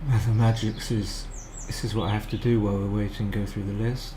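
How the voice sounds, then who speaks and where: A man's voice: fairly low pitch, little pitch movement, a little fast, noisy background. A man giving casual live commentary on a match as a streamer, chatty and thinking aloud with frequent restarts.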